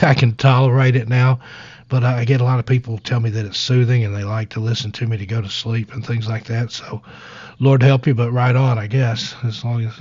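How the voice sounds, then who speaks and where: A man with a hoarse, scratchy voice, chatting easily as a guest author on a live-stream interview, rambling and poking fun at himself. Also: medium pitch, darkish tone, no room sound, highs cut off. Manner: rambling, easygoing, self-deprecating.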